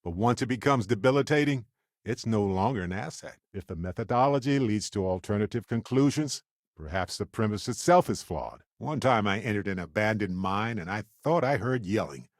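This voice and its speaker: A man voicing an upbeat, wisecracking, warm-hearted space explorer companion in a video game, chatty and wry with theatrical emphasis. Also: fairly low pitch, animated, normal speed, no room sound, studio quality. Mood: wry, conversational.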